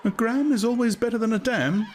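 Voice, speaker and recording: A man's voice: very high-pitched, brisk, a little mellow, faint music behind, voice pushed up. A man putting on a naive anxious young woman. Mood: chirpy.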